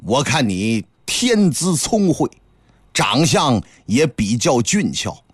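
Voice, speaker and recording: A man voicing an old male Taoist master who speaks in a slow, measured, solemn way. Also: medium pitch, sing-song, husky, no echo, clean studio sound, pitched below the performer's own voice, heavily acted. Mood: teasing.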